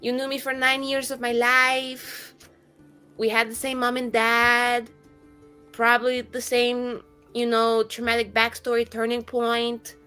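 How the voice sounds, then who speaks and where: Casting: irreverent captive elf mage a woman acting, higher-pitched, slangy, rambling and sardonic, improvised tabletop game with faint music. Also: completely flat delivery, unhurried, bright, forceful tone, clear, resonant tone. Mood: sardonic, exasperated. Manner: rambling, sarcastic, slangy and sweary. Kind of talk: improvised in-character roleplay, casual modern speech.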